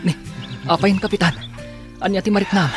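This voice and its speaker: A man's voice: high voice, fairly even intonation, fairly quick, faint music behind, close to an everyday voice. A man playing an anxious stranded castaway who pleads for rescue in a radio drama. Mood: concerned.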